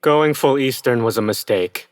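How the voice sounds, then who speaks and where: A man's voice: medium pitch, normal speed, no echo, close to an everyday voice. A man playing an earnest, hapless younger brother in an audio play, anxious and idealistic, with a nervy, apologetic manner. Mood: wistful.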